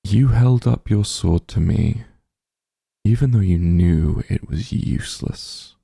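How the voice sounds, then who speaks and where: A man playing a possessive, doting immortal demon lord in an intimate ASMR roleplay, teasing and smug, speaking softly in a gravelly, breathy voice. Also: fairly low pitch, dry recording, studio quality, exaggerated voice. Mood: fond, smug. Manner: slow, soft, teasing coaxing.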